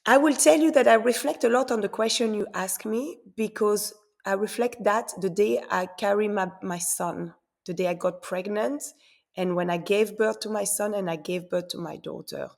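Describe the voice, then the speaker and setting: Woman, interview guest, born in Congo, raised in Belgium and Switzerland, gravelly fry, earnest, reflective, repeats words. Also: medium pitch, moderate pace, darkish tone. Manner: earnest, repeats words while searching for them.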